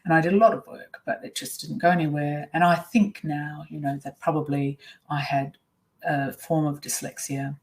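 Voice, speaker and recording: Low-voiced woman, candid and reflective. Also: fairly even intonation, moderate pace. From Australia. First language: Swiss German. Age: middle-aged. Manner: candid, reflective, many ums and uhs. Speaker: webinar presenter. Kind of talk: personal storytelling in a webinar.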